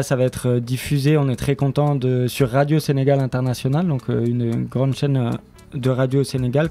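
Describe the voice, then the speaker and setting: A man speaking haltingly as a guest in a spontaneous radio interview. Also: medium pitch, warm tone. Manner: hesitant, halting delivery. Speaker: studio member presenting an audio fiction series, interview guest.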